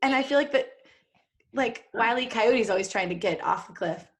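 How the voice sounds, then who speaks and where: A woman's voice: on the high side, moderate pace, husky. A woman co-hosting a podcast, reading fan fiction aloud in a giggly, jokey way and breaking off for casual asides. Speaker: podcast co-host.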